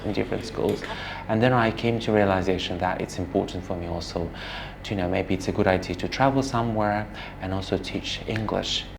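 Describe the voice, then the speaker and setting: A man from Russia, an English teacher talking earnestly in an interview, pausing often as he thinks. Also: low-pitched, creaky. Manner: earnest, chatty, with many pauses. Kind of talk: documentary interview talk. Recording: background music and ambient noise.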